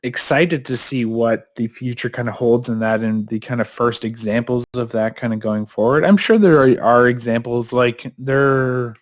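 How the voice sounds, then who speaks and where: A man co-hosting a podcast in casual chat, a little stuffed up in his nose, rambling with fillers and restarts. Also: medium pitch. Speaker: podcast co-host.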